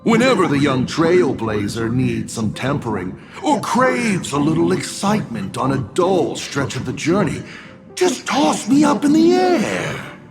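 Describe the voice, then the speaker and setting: A man voicing a teasing, theatrical talking die in a video game, smooth-talking and mock-polite. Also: fairly high pitch, wildly swooping pitch, measured pace, loud music behind the voice, full character voice. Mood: playful, grandiose.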